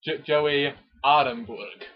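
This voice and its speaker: A man with a husky voice doing an amateur mock-dramatic reading of a romance novel, with chatty asides. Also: mid-range voice, takes it slowly. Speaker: amateur narrator. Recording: dull lo-fi home recording.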